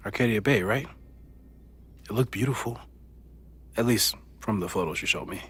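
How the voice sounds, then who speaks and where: A man acting a geeky, reassuring physicist friend in a video game, with an American accent and a thoughtful, gently joking manner. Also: lowish voice, moderate pace, husky. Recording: music under the voice. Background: game music.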